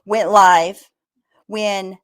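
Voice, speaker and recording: Mid-pitched woman gossiping casually. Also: steady tone, drawn-out words, fairly bright tone. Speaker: live stream panelist.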